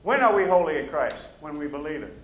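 Middle-aged man, Midwestern accent, pastor, conversational sermon, fairly high voice, echoey sanctuary. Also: big pitch swings, quick pace. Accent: Midwestern American. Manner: casual, plain-spoken, asks the room for agreement. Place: church stage, behind a pulpit.

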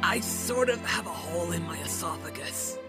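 A man playing a naive, good-natured hero dad in a cartoon parody, high and husky, earnest and flustered. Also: sing-song, moderate pace, music in the background. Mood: weak, matter-of-fact. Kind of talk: comedic anime parody voice acting.

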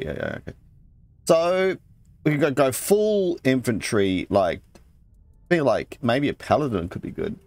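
A man's voice: on the low side, darkish tone. A man hosting a let's play, casually thinking aloud and reading game text as he goes. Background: game music.

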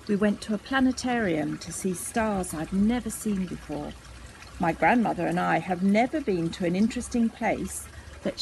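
A woman's voice: medium pitch, a little mellow, rough recording. A woman in her sixties reading a children's story aloud outdoors in a garden. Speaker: storybook reader. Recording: faint outdoor background noise.

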